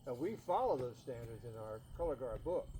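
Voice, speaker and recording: A man's voice: medium pitch, big pitch swings, a little fast. A man in a group discussion explaining rules, hesitant and searching for his words. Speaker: chapter member.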